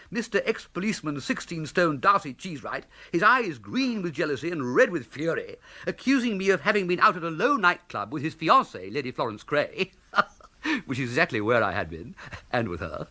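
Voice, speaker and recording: Bright, swooping upper-class English young gentleman. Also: high voice, huge pitch swings, normal speed, exaggerated voice. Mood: gleeful. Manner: plummy, exclamatory, chatty. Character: breezy, idle upper-class English young gentleman, good-natured and excitable. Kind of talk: comic period radio drama acting.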